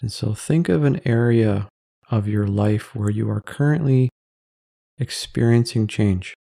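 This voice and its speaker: A man narrates a guided meditation in a low, dark, relaxed voice. His delivery is measured and calming, with pauses between phrases. The sound is close and dry. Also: vocal fry, no echo.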